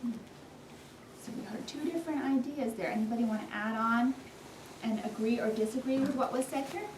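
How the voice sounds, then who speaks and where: High-voiced woman teaching in an echoey classroom. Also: fairly quick. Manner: encouraging, guiding with questions and countdowns. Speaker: first-grade teacher leading a class discussion. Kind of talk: classroom instruction to young children. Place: indoor elementary school classroom. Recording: echoey classroom, distant mic, faint noise.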